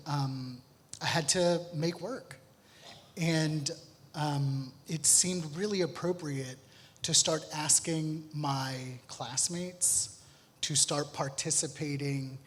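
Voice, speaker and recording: Guy presenting his art on a panel, kinda high voice, halting and thoughtful, big echoey hall. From Honduras and United States. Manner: halting, reflective delivery. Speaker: artist on a panel. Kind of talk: informal slide presentation. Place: theatre, live panel event. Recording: echoey hall with long reverb.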